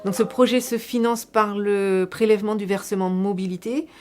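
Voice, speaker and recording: A woman speaking as a local elected official, explaining a public project in a measured, semi-prepared interview style with repeated words for emphasis. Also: mid-range voice, fairly even intonation, over loud music.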